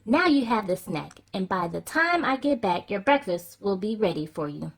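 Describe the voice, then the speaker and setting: A woman's voice: mid-range voice, sing-song, normal speed, vocal fry. A woman doing a kindly giant's wife.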